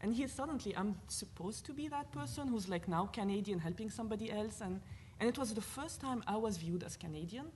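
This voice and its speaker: A woman from Lebanon with a Lebanese accent, speaking as a panelist at a university event in an earnest, animated way, thinking aloud with fillers. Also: mid-range voice, a little fast, few pauses, a little hiss or hum. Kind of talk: panel discussion talk.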